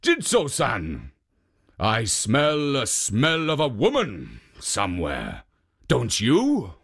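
Sly storybook ogre, slow and slightly low, suspicious and falsely polite, a narrator putting it on. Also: wildly swooping pitch, husky, exaggerated voice.

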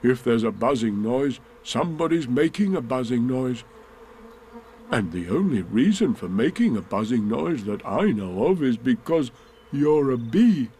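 Guy doing a slow-witted honey loving bear from a kids storybook, earnest, draws his words out, musing. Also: mid-range voice, big pitch swings, mellow, slight background noise. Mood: slow pondering. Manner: ponderous, drawn-out, musing.

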